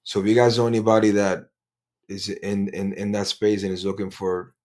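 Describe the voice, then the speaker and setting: A man's voice: lowish voice, little pitch movement, normal speed, a little mellow. A man co-hosting a casual podcast, rambling and repeating words, with bits of Spanish mixed in. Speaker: podcast co-host. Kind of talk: casual chat.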